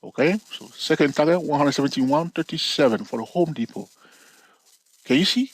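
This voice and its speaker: Husky man teaching, checking in often. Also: animated, moderate pace, no echo, rough recording. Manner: talks to viewers directly with frequent "okay?" and "all right?" checks; playful, teasing, with sudden rises in pitch for emphasis. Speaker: trading educator. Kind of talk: casual screen-share chart lesson.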